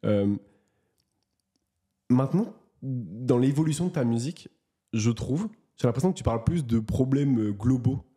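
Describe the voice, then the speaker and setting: A man's voice: medium pitch, animated, a little slow, long pauses, mellow. A man hosting a casual interview, talking with many hesitations and restarts. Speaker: host.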